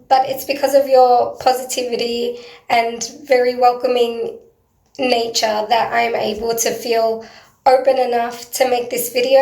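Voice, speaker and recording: A woman with a hoarse, croaky voice, talking earnestly and hesitantly in a personal video diary, with frequent pauses. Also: on the high side, a little mellow. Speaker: vlogger. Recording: roomy echo.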